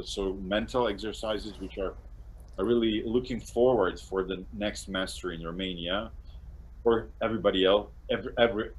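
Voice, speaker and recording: A middle-aged man with a Serbian accent, hosting a casual video-call interview in English as a second language, speaking haltingly with frequent restarts and small laughs. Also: fairly low pitch, low-quality recording. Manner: halting, restarts often, laughs between phrases. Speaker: interview host and course translator. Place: home room indoors. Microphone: webcam on a video call.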